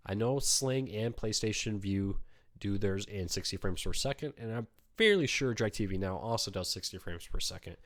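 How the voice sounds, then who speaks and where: A man hosting a casual live question-and-answer stream, talking in a relaxed, chatty way with lots of ums, his voice weak from a cold. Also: lowish voice, normal speed. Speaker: host answering viewer questions.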